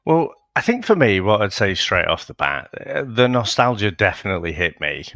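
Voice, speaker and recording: A man with a very low voice and a bright, forceful tone, hosting a casual film review chat. He talks in a measured, easygoing way and laughs along with his co-host. The sound is dry and close-miked. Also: very animated, dry recording. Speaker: podcast host.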